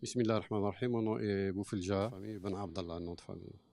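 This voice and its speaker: Community cofounder, earnest, slightly low voice, close dry sound. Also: male voice, fairly even intonation, quick pace, gravelly, warm tone, no room sound. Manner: earnest, mixes Arabic phrases into French. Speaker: cofounder of a cultural centre. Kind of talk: earnest testimony. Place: mosque.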